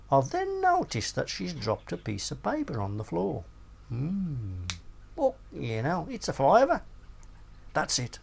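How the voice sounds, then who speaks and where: British man, comic short-story narration, animated intonation, creaky voice, unhurried, sweary, theatrical. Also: medium pitch. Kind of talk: comic first-person short story reading.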